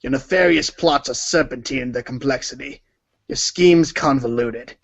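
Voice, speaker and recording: A man's voice: mid-range voice, normal speed, lo-fi sound. A man narrating a comic read-along story in the second person.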